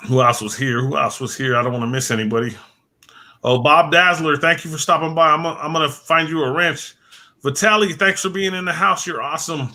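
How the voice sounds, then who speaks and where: A man's voice: fairly high pitch, fairly even intonation, a little fast, pushed and bright. A man hosting a late-night livestream, rambling and repeating words as he thanks viewers by name, his voice worn and fading. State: losing his voice. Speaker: livestream host. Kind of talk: casual livestream chat.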